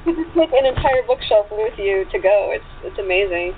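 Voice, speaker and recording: A woman's voice: mid-range voice, lively intonation, brisk. A woman speaking as an author guest in a casual interview, with an enthusiastic manner. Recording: tinny phone line with background noise.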